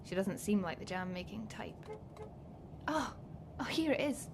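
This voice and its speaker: A woman's voice: mid-range voice, animated, unhurried, creaky, noisy background. A woman acting in a naturalistic radio drama as a guarded, frazzled pregnant woman of twenty-five, wry and a little awkward. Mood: anxious, breathless.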